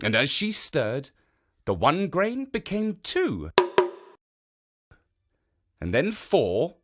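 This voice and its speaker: A man narrating a children's story in an expressive, theatrical storytelling manner. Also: on the high side, very slow.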